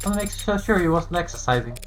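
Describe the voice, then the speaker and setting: A man's voice: fairly high pitch, expressive, quick pace, faint music behind, voice pushed up, lightly acted, rough recording. A man voicing an earnest, loyal android who speaks in a formal, protesting way for a video game. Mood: earnest, puzzled. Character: earnest, defensive, loyal android devoted to its creator.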